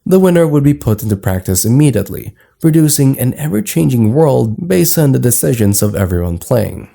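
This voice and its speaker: A man's voice: mid-range voice, big pitch swings, brisk, vocal fry, dark tone. A man reading scripted narration for an explainer video in a formal, documentary-like manner.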